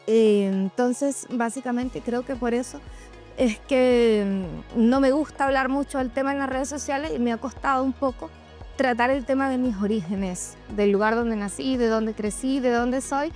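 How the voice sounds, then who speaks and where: A woman shares a reflective personal monologue, speaking candidly and straight to her listeners. Her voice sits a little high, and music plays faintly behind her. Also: moderate pace, a little mellow. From Venezuela. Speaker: host of a personal podcast or vlog. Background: background music.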